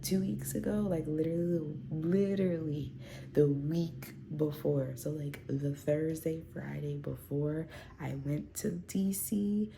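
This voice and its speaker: Low, gravelly congested woman vlogging. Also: fairly even intonation, takes it slowly, dark tone, a little hiss or hum. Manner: rambling, chatty, many fillers and restarts. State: congested from a recent cold. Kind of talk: casual chatty vlog. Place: at home. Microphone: phone camera.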